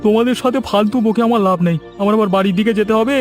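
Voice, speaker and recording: A man's voice: high-pitched, steady tone, rapid-fire, soft background music, lightly acted. A man voicing an argumentative younger villager in a cartoon, with a needling, complaining way of talking. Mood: resentful, challenging. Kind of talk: cartoon voice acting in a village comedy.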